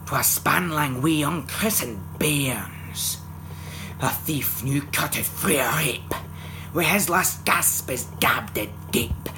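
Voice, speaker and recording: A man with a Scottish accent giving a theatrical, expressive dramatic recitation of a narrative poem in Scots. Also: fairly high pitch, slow pace, over music. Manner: theatrical, expressive, swinging from hushed to loud. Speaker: poetry reciter.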